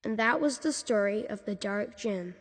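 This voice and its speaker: A woman voicing a grave, measured storyteller in a dramatic fantasy game. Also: mid-range voice, lively intonation, in a large hall, lightly acted.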